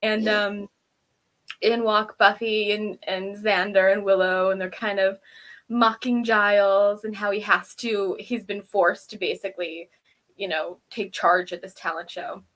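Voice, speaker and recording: A woman co-hosting a casual review, recapping in a chatty, rambling, giggly way with animated storytelling. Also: medium pitch, unhurried. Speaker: review show host.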